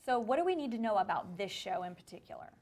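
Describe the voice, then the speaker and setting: A woman's voice: medium pitch, sing-song, fast talker, darkish tone. A woman hosting a broadcast interview in an upbeat presenter manner. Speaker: TV show host.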